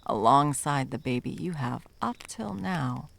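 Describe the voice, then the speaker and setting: A woman's voice: a bass voice, expressive, unhurried, vocal fry, slight background noise, exaggerated voice. A woman playing a wry, menacing monster hunter who is sadly in love, in intimate ASMR roleplay with a theatrical, sardonic delivery that dips into whispers. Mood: grim, pointed.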